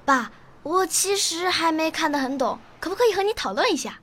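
A woman acting a bright, eager, bookish nine-year-old girl in a radio drama. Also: very high voice, animated, a little fast. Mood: earnest.

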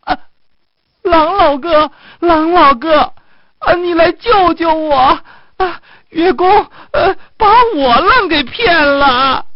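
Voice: very high voice, lively intonation, a little slow, hardly any pauses, no room sound, muffled recording, voice pushed up. A storyteller voicing a desperate trapped little fox from a fairy tale, pleading and whining.

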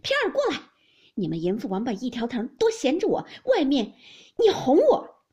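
A woman voicing a fierce, jealous young mistress in a storybook reading, shrill and complaining. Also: rapid-fire, warm tone, slightly rough, highs cut off, natural voice. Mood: furious. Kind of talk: dramatised audiobook of classic novel.

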